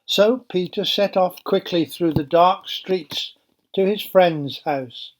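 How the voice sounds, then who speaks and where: Guy telling a bible story to kids, really slow and measured, explains things simply. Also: high-pitched, a little hiss or hum, rough recording. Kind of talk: children's Bible story narration.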